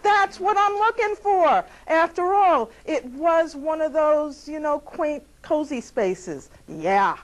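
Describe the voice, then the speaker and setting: A woman's voice: high voice, animated, slow, few pauses, poor audio. A woman performing a sardonic stand-up comedy monologue. She addresses the audience directly, asks rhetorical questions and stretches out repeated punchlines. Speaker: comic performer reading her piece.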